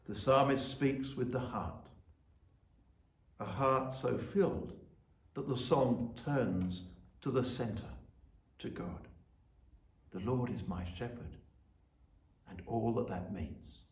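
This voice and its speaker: A man giving a church sermon as officiant, speaking in measured, deliberate short phrases. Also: mid-range voice. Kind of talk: sermon with scripture reading and prayer. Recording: roomy, echoing sound.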